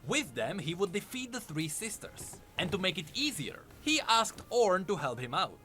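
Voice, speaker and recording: A man's voice: sits high, sing-song, normal speed, sharp tone, a little hiss or hum. A man reading scripted narration, telling fantasy game lore as a story. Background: background music under the voice.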